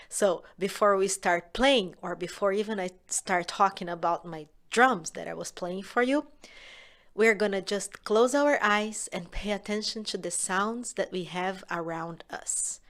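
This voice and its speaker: Woman, Brazilian Portuguese accent, music teacher, children's lesson, gentle, encouraging, mid-pitched voice. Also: measured pace. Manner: gentle, encouraging, often drops to a soft whisper.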